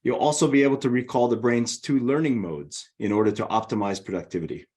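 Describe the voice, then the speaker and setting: A man's voice: mid-range voice, fast talker, darkish tone, slightly rough. Presenter, male, middle-aged, Hawaiian accent; friendly and organized, walks through slides. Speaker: webinar presenter. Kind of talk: presentation webinar.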